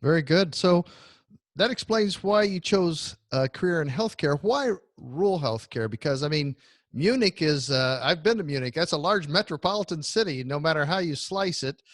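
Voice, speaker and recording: Lively, upbeat male radio host. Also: a little high, moderate pace, no echo, studio quality. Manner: friendly, upbeat, asks questions. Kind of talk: interview hosting.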